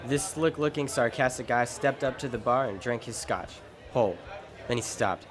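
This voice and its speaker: A man's voice: mid-range voice, moderate pace, close to an everyday voice. An actor playing a world-weary, wry private detective in an old-time radio drama, narrating and talking through his case. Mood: wry narration.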